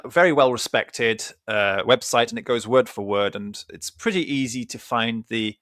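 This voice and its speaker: A man's voice: medium pitch, fairly bright tone, no room sound. A man hosting a religious podcast in a studio, chatting in a rambling, self-correcting way, with a slight cough. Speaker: podcast host. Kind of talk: casual religious podcast talk. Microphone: studio mic with cough button.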